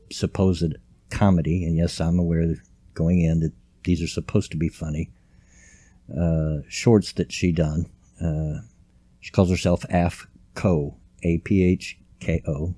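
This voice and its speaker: Older guy, deep low voice, folksy rambling, dry close mic. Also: warm tone, no echo. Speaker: vlogger. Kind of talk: casual grumpy commentary. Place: home at night, quiet room.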